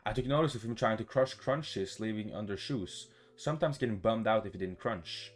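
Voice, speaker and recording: A man's voice: on the low side, normal speed. A man reading a visual novel aloud as a gaming YouTuber, stumbling over words now and then and adding his reactions. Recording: music behind the voice.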